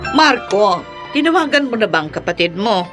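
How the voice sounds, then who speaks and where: A woman's voice: medium pitch, big pitch swings, moderate pace, over music, close to an everyday voice. An actress playing a possessive, manipulative mother of grown sons, nagging and imperious, with a Filipino accent in a radio drama. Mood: impatient.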